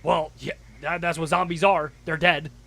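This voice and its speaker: A man's voice: on the high side, steady tone, moderate pace, creaky, sharp tone. A man giving casual, slangy, mocking commentary as he reacts to a horror series, often repeating phrases for emphasis. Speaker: reaction video host.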